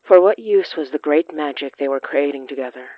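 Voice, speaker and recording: A narrator reading scripted horror fiction in a deliberate, literary way with dramatic pauses. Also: medium pitch, no room sound, dull audio.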